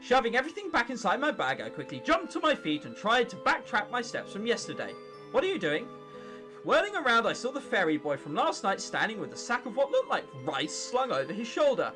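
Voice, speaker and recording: A British man in his late teens with a high, lively voice hosts a gaming video. He reads story text and drops in sarcastic, sweary asides in a casual way. His pitch moves around a great deal, and there is faint music behind him. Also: fairly quick. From England or Britain. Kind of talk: casual playthrough commentary, reading visual novel text aloud.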